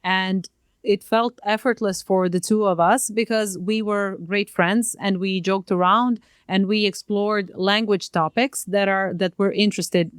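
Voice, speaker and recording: A woman's voice: medium pitch, smooth, no room sound, studio quality. A woman whose first language is Croatian, co-hosting a podcast in casual, thoughtful conversation from a home setup. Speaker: podcast co-host. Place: child's bedroom used as home studio. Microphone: podcast mic at home.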